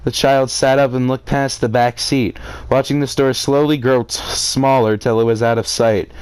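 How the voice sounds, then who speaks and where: A man with a smooth, clear voice at a middling pitch, close and dry on the mic. He reads a story aloud in a theatrical way, given to exclamatory, sarcastic commentary on what he reads. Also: level tone, moderate pace, darkish tone, no room sound, low-quality recording. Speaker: reader reacting to a story. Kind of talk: live read-aloud with outraged commentary.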